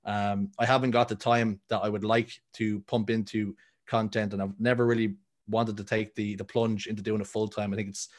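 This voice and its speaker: Rather low-pitched man of thirty with a Dublin Irish accent, telling stories as a guest in a relaxed interview. He rambles, starting over often and filling gaps with ums. His talk stays easy and conversational. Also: moderate pace. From Ireland. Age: 30s. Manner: rambling, full of ums and restarts. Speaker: guest, content creator. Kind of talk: casual interview chat.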